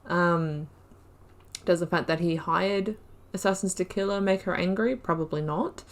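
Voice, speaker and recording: A woman's voice: fairly low pitch, measured pace. A woman giving chatty let's-play commentary, thinking aloud with many fillers. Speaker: gaming YouTuber.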